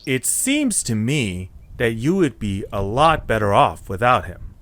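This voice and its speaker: A man's voice: mid-range voice, very animated, a little slow, fairly bright tone. A man acting an earnest, encouraging young male character in a scripted audio story, speaking conversationally. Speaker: narrator and voice of the main character.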